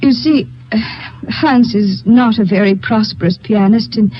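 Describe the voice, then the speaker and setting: A young European émigré woman who speaks formally and carefully, with polite, nervous hesitations. Her voice sits fairly high. The sound is the dull, narrow tone of an old radio broadcast. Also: a little slow, breathy edge. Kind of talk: 1950s radio drama acting.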